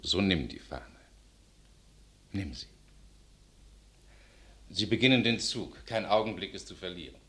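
Urgent royal emissary, low register, very animated intonation, formal period drama. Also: male voice, a little slow, long pauses, slight background noise. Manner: formal, pressing. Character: urgent royal knight sent as messenger. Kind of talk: classical German verse radio drama.

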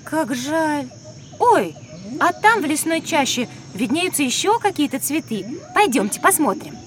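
High-pitched, wide-eyed little schoolgirl. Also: very animated, a little slow, slight background noise. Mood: pleading. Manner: exclamatory, wondering, questioning. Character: wide-eyed, curious, sweet young schoolgirl. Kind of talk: children's educational audio drama acting.

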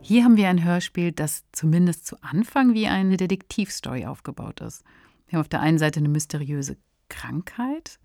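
A woman presents a radio podcast in an explanatory style. Her voice is low, recorded close and dry in a studio. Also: lively intonation, normal speed, warm tone, no echo. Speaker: podcast host. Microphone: close studio microphone.

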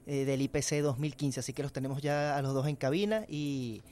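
A man introducing guests on air from a radio studio, in a halting announcing manner. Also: medium pitch, darkish tone. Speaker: radio presenter.

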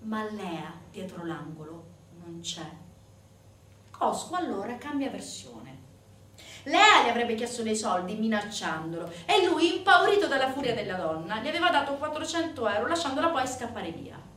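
A woman performing a scripted dramatic monologue as a stage narrator, with measured, weighted phrases. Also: a little high, lively intonation, fairly bright tone, a little hiss or hum. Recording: roomy, distant sound with faint music.

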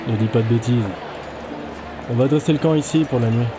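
A man playing a gruff, secretive adventurer in a fantasy audio drama. His delivery is curt and dry, at a medium pitch, with music clearly underneath. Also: a little fast, close to an everyday voice. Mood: irritated. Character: gruff, secretive male travelling companion, easily irritated. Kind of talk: French fantasy audio fiction dialogue.

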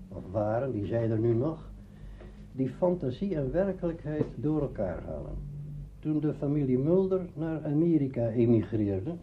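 An older man, a veteran radio actor, reminiscing and explaining deliberately in an interview. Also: mid-range voice. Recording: dull lo-fi archive recording, faint noise.

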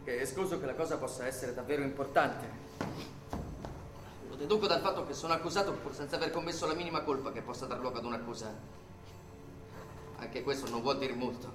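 A man's voice: medium pitch, steady tone, fast, long pauses, close to an everyday voice. An actor playing an articulate, defiant man under arrest who insistently questions his captors in a theatrical radio drama. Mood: controlled, reasoning. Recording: roomy echo with music behind.

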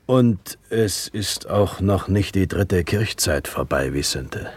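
An actor playing a stern, weary flower seller in a radio play, speaking in a grave, deliberate, resigned manner. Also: male voice, low-pitched, expressive, breathy edge, heavily acted. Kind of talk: German radio play acting.

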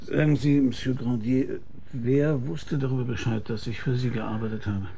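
An actor playing a cynical, world-weary investigator who narrates a radio drama in a dry, laconic, husky voice. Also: male voice, medium pitch, steady tone, moderate pace, mellow, a little hiss or hum, lightly acted. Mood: guarded, laconic. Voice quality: gravelly, husky. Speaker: narrator and lead character. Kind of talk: hard-boiled radio drama narration and dialogue.

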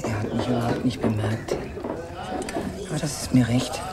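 An actress playing a quiet, watchful young woman in a radio drama, speaking an intimate inner monologue in short sentences. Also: rumbling low, steady tone, moderate pace. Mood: wistful, watchful. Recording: loud crowd noise behind the voice. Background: loud crowd.

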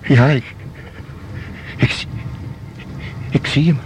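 An actor in a radio play playing a nervous, hesitant museum visitor, halting and stammering as he repeats himself. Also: mid-range voice, steady tone, slight background noise, natural voice. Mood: hesitant, uneasy.

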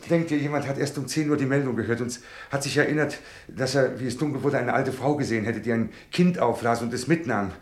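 An actor in a German radio play voicing an anxious, tender middle-aged father, speaking in a halting, earnest, pensive way. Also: medium pitch, echoey room, lightly acted. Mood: relieved, excited.

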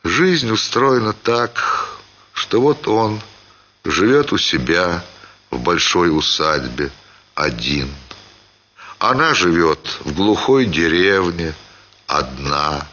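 A man reading a literary story as a dramatic narrator, measured, pausing phrase by phrase. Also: low-pitched, animated, pushed and bright, lo-fi sound. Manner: measured, with deliberate phrase-by-phrase pauses.

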